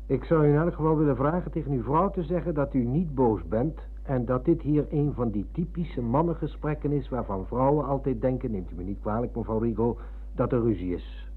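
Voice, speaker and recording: A man's voice: mid-range voice, fairly quick, breathy edge, natural voice. An actor playing a formally polite, pedantic man who coolly probes and needles like an interrogator, in a Dutch radio drama. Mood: polite, placating. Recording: muffled, dull old radio recording.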